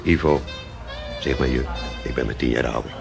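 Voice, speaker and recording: A man's voice: a bass voice, normal speed, creaky, over music, full character voice. An actor playing a cynical, blunt musician and barman in a radio drama, talking in a laconic way. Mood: wry, laconic. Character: cynical, blunt male drummer and barman in his forties. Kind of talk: naturalistic Dutch radio play acting.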